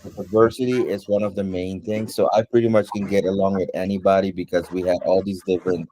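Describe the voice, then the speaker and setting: A man from Suriname whose first language is Dutch, answering interview questions in an unhurried way, with many ums and pauses. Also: deep voice, little pitch movement, mellow, poor audio.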